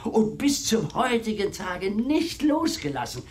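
An actor playing a fawning manservant turned matchmaker, florid and theatrical, in a radio drama. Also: male voice, high voice, big pitch swings, unhurried, a little mellow. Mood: wheedling, insistent.

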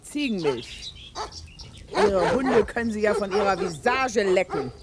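A woman's voice: medium pitch, expressive, unhurried, noisy background, natural voice. A woman playing a defiant old peasant woman in a German radio drama, curt and insistent as she guards her own ground. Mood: angry, defiant. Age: older.

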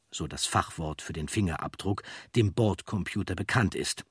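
A man with a fairly low, husky voice narrates a documentary script. He explains things in measured phrases, and the sound is very dry, without room echo. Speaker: audiobook narrator.

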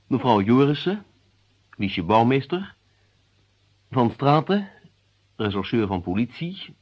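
A man formally and deliberately reading the closing credits of a radio play as its announcer. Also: mid-range voice, slightly rough. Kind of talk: formal scripted broadcast announcement. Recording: dull, muffled old radio recording.

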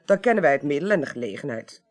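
An actress in a Dutch radio play voicing a tactful, analytical investigator who speaks politely and carefully, with a Netherlands accent. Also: female voice, sits low, big pitch swings, fast, darkish tone. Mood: reasoning. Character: tactful, analytical professional woman on an investigating committee. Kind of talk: 1980s radio drama acting.

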